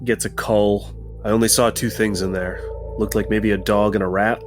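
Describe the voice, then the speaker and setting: A man's voice: lowish voice, little pitch movement, moderate pace, music in the background. A man chatting casually as a player in a tabletop roleplaying game.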